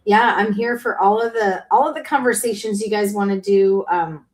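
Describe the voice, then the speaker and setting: Enthusiastic female panelist, mid-pitched voice, friendly chat, filler-heavy. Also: normal speed. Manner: upbeat, enthusiastic, with many fillers. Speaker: panelist with a nutrition and psychology background. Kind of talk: casual panel conversation.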